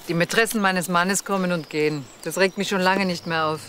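A woman's voice: lowish voice, steady tone, normal speed, fairly bright tone, slight background noise, lightly acted. A woman playing a haughty, cool countess in a crime drama, dismissive and imperious. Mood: scornful. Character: haughty, cool aristocratic countess defending her family. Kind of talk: German TV crime drama acting.

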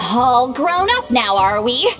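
A woman's voice: fairly high pitch, very animated, slow, music in the background, heavily acted. A woman voicing a haughty, easily flustered shaman lady in a video game, with theatrical delivery in a cavernous space. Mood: haughty, scolding. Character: haughty, scolding young-sounding granny shaman, easily flustered.

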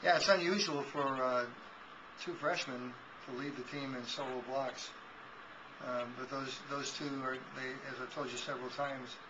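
A man in his sixties, a volleyball head coach giving a measured postgame interview with frequent restarts, recorded on a webcam in a small office. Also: mid-range voice, steady tone. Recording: dull lo-fi with noise.

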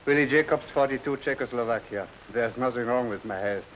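A man's voice: medium pitch, brisk, fairly bright tone, highs cut off, natural voice. An actor playing a sly, cheerful middle-aged male refugee con man, wheedling and teasing in a 1950s TV drama. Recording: old TV film sound with faint rain hiss.

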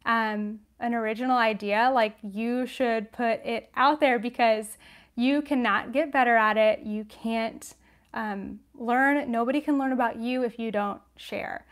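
A woman's voice: fairly high pitch, fairly even intonation, a little slow. A young woman hosting a casual livestream Q&A, chatty and encouraging, with a sniffly nose, on a lapel mic in a small room at home. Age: young adult. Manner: chatty, encouraging, many ums and likes. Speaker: knitting channel host.

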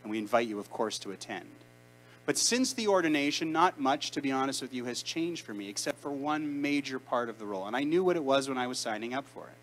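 Young canadian guy rabbi giving a sermon, mid-pitched, chatty but emphatic, faint hum in a big room. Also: animated, a bit bright, poor audio. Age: around 30. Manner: conversational, emphatic preaching with deliberate pauses. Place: large synagogue sanctuary. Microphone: handheld mic, far camera.

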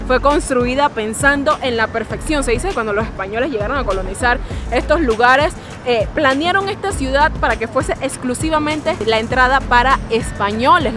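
A young woman, chatting animatedly to a handheld camera on a city square. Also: sits high. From Panama. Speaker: travel vlogger. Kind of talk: casual travel vlog. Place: busy city square and streets outdoors. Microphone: handheld camera at arm's length. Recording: outdoor city crowd with background music. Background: music and crowd noise.

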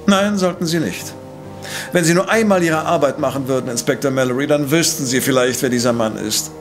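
A man's voice: medium pitch, a little fast, soft background music, natural voice. A man playing a brilliant, conceited professor-detective in an audio drama, with a pompous, precise, lecturing manner. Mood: scornful. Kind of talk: German audio drama acting.

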